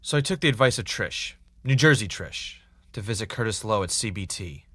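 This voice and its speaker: A man's voice: lowish voice, lively intonation, fairly quick, pushed and bright, slight background noise. A man playing an earnest, dogged podcaster investigating a mystery in a naturalistic audio drama, with a wry, self-dramatizing streak. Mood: measured, serious. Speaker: podcast host in a scripted drama.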